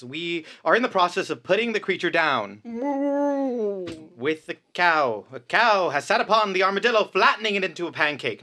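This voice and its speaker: A man playing a solemn, deadpan radio announcer in a comic radio play, delivering grave bulletins. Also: on the high side.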